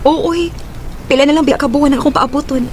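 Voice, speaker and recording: A woman's voice: high-pitched, steady tone, fast talker, a little hiss or hum, close to an everyday voice. An actress with a Cebuano accent playing a sweet, affectionate young female student and girlfriend. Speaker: radio drama actress. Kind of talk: Cebuano radio drama acting.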